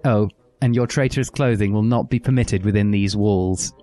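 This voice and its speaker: A man's voice: fairly low pitch, normal speed, dark tone, soft background music, natural voice. A man voicing a mean, sadistic woman prison warden, mocking and cruel, in a satirical story.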